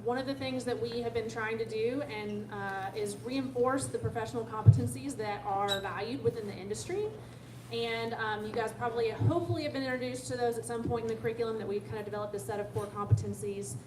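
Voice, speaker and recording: A woman giving an informal, upbeat introduction for a guest speaker, as the host of a talk. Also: a little high. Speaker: director of partner relations introducing a guest speaker. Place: lecture room at a tech school. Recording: echoey room, distant mic, background noise.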